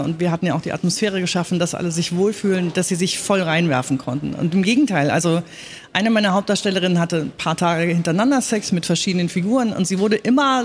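A woman speaking in a panel talk as a radio drama director, explaining her work in an earnest, emphatic way. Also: medium pitch.

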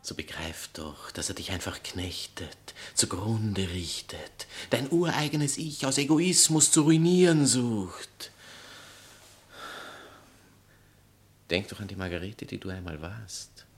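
An actor playing a pompous, theatrical writer and former lover, declamatory and sarcastic in a stage satire. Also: male voice, fairly low pitch, a little slow, few pauses. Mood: urgent, pleading. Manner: declamatory, sarcastic, self-important. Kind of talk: stage satire acting in literary German.

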